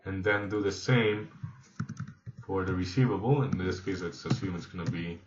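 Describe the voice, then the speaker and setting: A man narrating a software training demo, explaining step by step in a halting, instructional way. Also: sits low, noisy background. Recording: echoey room, slightly dull sound.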